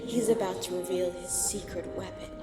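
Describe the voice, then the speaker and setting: A woman's voice: fairly low pitch, fairly even intonation, moderate pace, natural voice. A woman voicing a proud, menacing pony queen of darkness in a fantasy audio drama, haughty and commanding. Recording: echoey long reverb, loud music underneath.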